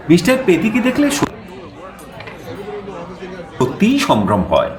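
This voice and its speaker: A man's voice: medium pitch, echoey room. An actor playing a theatrical raconteur who tells his own travel adventure as a dramatised audio story. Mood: relaxed, wry. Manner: expressive, theatrical. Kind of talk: dramatised audio story narration in first person. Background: background music.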